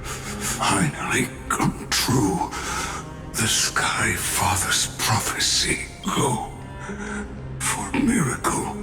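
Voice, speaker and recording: An actor playing an aged, proud and weary master craftsman in a video game, speaking in halting, inverted phrases with a gravelly, breathy voice. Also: fairly low pitch, wildly swooping pitch, exaggerated voice. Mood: solemn, failing. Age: older. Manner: slow, halting, inverted word order. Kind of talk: English video game voice acting. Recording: echoey, loud music underneath. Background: loud game music.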